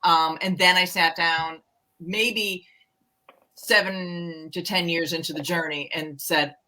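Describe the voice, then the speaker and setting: A woman's voice: lowish voice, steady tone, slow pace, bright, forceful tone, low-quality recording. A middle-aged woman, a romance author and co-host, chatting candidly and a little self-deprecatingly about writing books. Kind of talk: casual book chat.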